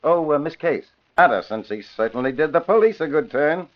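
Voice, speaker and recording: A man's voice: fairly high pitch, animated, fairly quick, natural voice. Man doing a genial middle-aged police commissioner, old radio drama, bouncy delivery, muffled sound. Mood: genial, curious. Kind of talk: 1950s radio drama acting. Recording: dull vintage radio broadcast.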